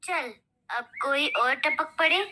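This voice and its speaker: A woman's voice: sits high, slow, pushed and bright, heavily acted. A woman voicing a bossy, sly, greedy aunt bird in a children's cartoon, scolding and teasing. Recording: noticeable background noise.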